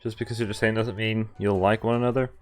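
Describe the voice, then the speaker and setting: A man's voice: lowish voice, little pitch movement, fast. Male streamer reading aloud over game music. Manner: reacts with laughs and mild swearing between read lines. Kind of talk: reading visual novel text aloud with casual asides. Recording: music behind the voice.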